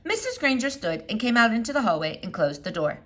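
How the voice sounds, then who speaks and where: Woman reading a kids chapter book out loud, super lively intonation. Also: a little high, fairly quick. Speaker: storybook reader. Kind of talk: expressive children's book read-aloud.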